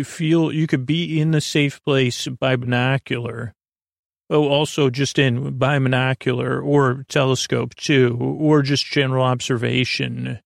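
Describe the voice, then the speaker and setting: Creaky-voiced man rambling soothingly. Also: medium pitch, no echo, studio quality. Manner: meandering, hesitant, halting, with frequent tangents and pauses; soothing, gently whimsical. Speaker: podcast host. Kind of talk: rambling bedtime monologue.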